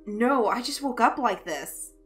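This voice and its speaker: A woman's voice: on the high side, animated, a little slow, a bit bright, faint music behind, pitched above the performer's own voice. Woman playing a surly, flustered student guy. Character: surly, flustered young male college student.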